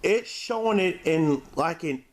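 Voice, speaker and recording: Higher-pitched man giving a halting casual review. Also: lively intonation. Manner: halting, stumbling over words when reading aloud. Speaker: DVD reviewer, show host. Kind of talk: casual unscripted video review.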